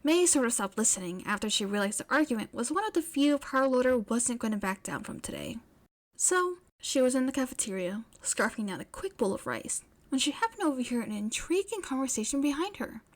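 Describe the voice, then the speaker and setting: Female storyteller, fairly high voice, scripted fiction narration. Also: animated, fairly quick.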